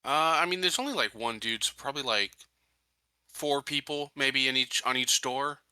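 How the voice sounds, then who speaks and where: A man with a very sharp, bright voice of medium pitch plans casually as a tabletop game player. The sound is close and dry. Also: moderate pace, smooth, no room sound. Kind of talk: casual planning discussion.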